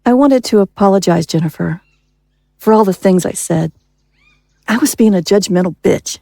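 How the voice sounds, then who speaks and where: A woman's voice: medium pitch, moderate pace, vocal fry, mellow, no echo, studio quality. A woman playing a hot-headed, protective small-town mother in an audio drama. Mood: apologetic.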